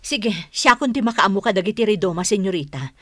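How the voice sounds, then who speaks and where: A caring, fussing housemaid in a Filipino radio drama. Her bright, forceful voice moves in lively swoops and is recorded very dry. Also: medium pitch, brisk, no room sound. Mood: concerned.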